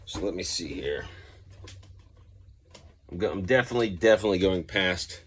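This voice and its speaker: A man with a low-pitched voice talks through gear in a loose, informal way. He sounds like a musician doing an unscripted demo, rambling as he goes. There is some light background noise with occasional clicking. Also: expressive, normal speed. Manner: informal, rambling, mildly profane. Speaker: musician demonstrating effects pedals. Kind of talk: casual gear review, off-the-cuff demonstration.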